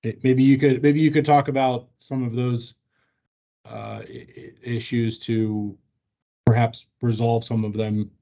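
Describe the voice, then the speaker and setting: A man's voice: medium pitch, little pitch movement, unhurried, mellow. A man asking questions informally in a staff meeting, hesitant and slightly stammering, over muffled audio. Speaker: training participant asking questions.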